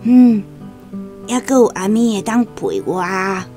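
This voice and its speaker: A woman's voice: medium pitch, measured pace, mellow, faint music behind, natural voice. An actress playing a stubborn, anxious old grandmother in a radio drama, with a Taiwanese accent and a plaintive, complaining delivery. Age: older.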